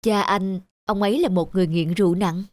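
A woman's voice: medium pitch, fast talker, a little mellow, no echo, natural voice. Woman as a kindly, encouraging young businessman. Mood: earnest, advising. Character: kindly, self-made young businessman giving encouraging advice. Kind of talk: cartoon character acting.

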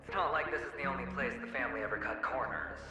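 Give the game a taunting phantom double, smug and theatrical. His middle-pitched voice is drenched in ghostly echo. Loud music plays beneath his needling, drawn-out lines. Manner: mocking, theatrical, drawn-out phrasing with chuckles. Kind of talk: dramatic video game voice acting. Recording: echoing processed voice under music.

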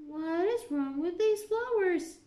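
A woman voicing a furious queen who shouts her orders slowly and loudly. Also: very high-pitched, animated, pitched above the performer's own voice, heavily acted. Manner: shouting, slow and drawn out. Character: shrill, furious queen, loud and commanding. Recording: roomy echo.

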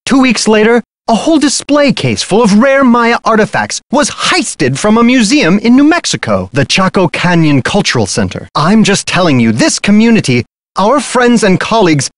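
An actor playing a flamboyant, gossipy art dealer in a mystery game, with a melodramatic, florid delivery. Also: male voice, sits high, big pitch swings, moderate pace, no room sound, studio quality. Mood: anguished, urgent. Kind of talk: theatrical video game character acting.

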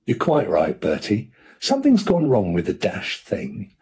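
A man doing an excitable young painter. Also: fairly low pitch, unhurried, husky, exaggerated voice. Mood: miserable. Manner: anxious, then wildly excited.

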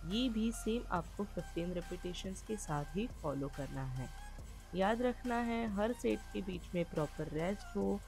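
A woman's voice: mid-range voice, darkish tone. Woman fitness vlogger, instructional voice-over, mid-range voice, light music bed. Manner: explains steps and reminders directly to viewers.

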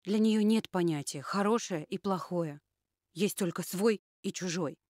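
A woman's voice: lowish voice, unhurried, darkish tone, dry recording, studio quality, natural voice. A woman voicing a solemn puppet prophet. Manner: solemn, admonishing. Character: solemn female puppet survivor warning humanity. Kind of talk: puppet theatre performance.

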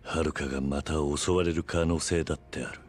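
A man's voice: very deep voice, fairly even intonation, moderate pace, a little hiss or hum. A man playing a stoic, resolute middle-aged tough guy, terse and grave, in a video game drama.

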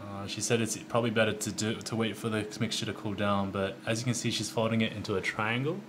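Young man, casual cooking narration, playful, joking, home kitchen, handheld camera, clear background noise. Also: lowish voice, level tone, quick pace. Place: home kitchen and dining room.

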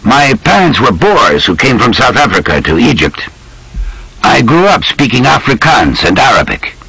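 A man's voice: mid-range voice, very animated, gravelly, bright, forceful tone, slightly rough, dry recording, pitched above the performer's own voice, exaggerated voice, rough recording. Man voicing a weary, guarded traveller. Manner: tired, measured, cautious. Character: weary male spy posing as a traveller, guarded.

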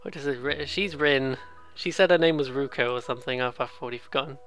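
A let's player giving casual, excitable, rambling game commentary, often interrupting themselves and reading dialogue aloud. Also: mid-range voice, fast. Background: game music.

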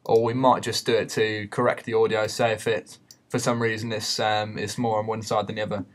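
A man giving an unscripted software tutorial in a hesitant, explanatory way. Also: on the low side, little pitch movement, brisk. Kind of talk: unscripted screen-recorded tutorial. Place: voice-over for a screen recording.

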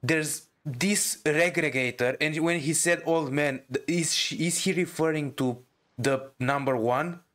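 A man's voice: on the high side, unhurried, a bit bright. A young man giving casual gaming commentary, informal, often stammering and repeating his words. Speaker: gamer, streamer. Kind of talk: casual game reaction commentary.

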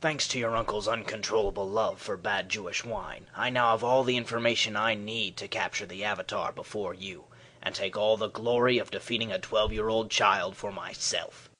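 A man's voice: on the low side, steady tone, normal speed, bright, forceful tone, rough recording. A man playing a smug, menacing military villain in a comedic cartoon parody, sneering and sardonic.

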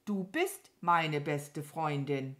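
A woman voicing a gentle, affectionate, dreamy cow in a children's storybook, with a mild, mooing manner. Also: mid-range voice, animated, takes it slowly, voice pushed up. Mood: insistent.

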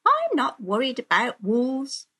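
Fairy-tale heroine, small girl, innocent and spirited, high exclamatory delivery, acted by a woman narrator. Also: huge pitch swings, measured pace, husky, full character voice. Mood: carefree. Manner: bright-eyed, exclamatory, innocent. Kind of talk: children's storybook character voice.

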